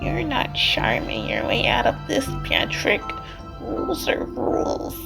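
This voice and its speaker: A woman voicing a fussy, stern game librarian with a put-on New Jersey accent and a breathy, drawn-out, dramatic delivery, over music. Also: a little high, huge pitch swings, voice pushed up, full character voice. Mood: scolding. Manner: dramatic, scolding. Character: fussy, stern female librarian with spooky complaints.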